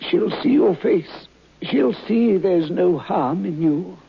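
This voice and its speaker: Frail raspy dying old captain. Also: male voice, sits high, expressive, full character voice. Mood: feeble, anxious. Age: older. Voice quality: raspy, weak, croaky. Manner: halting, stammering, feeble. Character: ailing, dying old sea captain, stubborn about money. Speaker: actor in a radio play. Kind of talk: old-time radio horror acting. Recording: dull old radio recording.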